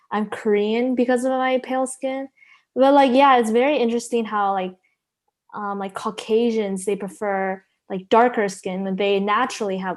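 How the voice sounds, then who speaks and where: Teen girl podcaster, mid pitch, says like a lot, kinda hesitant. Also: a little mellow. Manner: hesitant, heavy "like" and "um" fillers. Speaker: podcast co-host. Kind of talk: casual chat.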